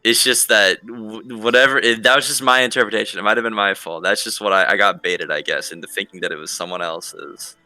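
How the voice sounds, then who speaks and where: A man's voice: on the low side, steady tone, fairly quick, few pauses, sharp tone, no echo, poor audio. A man playing in a roleplaying game, arguing casually with an exasperated, disputing manner. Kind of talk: casual argumentative chat.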